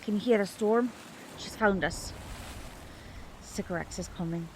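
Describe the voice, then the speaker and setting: Woman acting protective magic mom, tense n direct, medium pitch, audio drama, bit of bg noise. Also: measured pace, darkish tone, lightly acted. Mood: alarmed. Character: protective, urgent mother who works magic.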